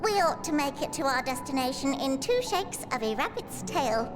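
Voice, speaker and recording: A woman's voice: high voice, sing-song, normal speed, over music. A woman voicing a chirpy, long-winded, self-important little rabbit-like creature in a video game, theatrical and wordy. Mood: chipper, matter-of-fact. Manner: theatrical, wordy, archaic phrasing. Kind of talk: scripted video game dialogue.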